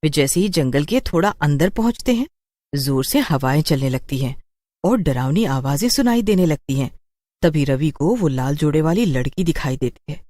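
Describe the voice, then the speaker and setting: A woman's voice: low voice, animated, normal speed, mellow, dry recording, clean studio sound. A woman narrating a scripted horror story for a cartoon.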